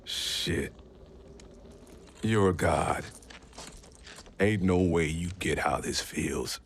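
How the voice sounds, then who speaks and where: An actor playing a gruff, bitter American soldier in a video game, with a blunt, folksy delivery. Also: male voice, deep voice, drawn-out words, slight background noise, full character voice. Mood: anguished, frustrated. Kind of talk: dramatic video game acting. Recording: dramatic music behind the voice.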